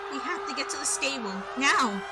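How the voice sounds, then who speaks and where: A woman's voice: sits high, animated, moderate pace, heavy background noise. A woman playing a frightened young female pony police officer in a dramatized fan fiction audio drama. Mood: panicked commanding.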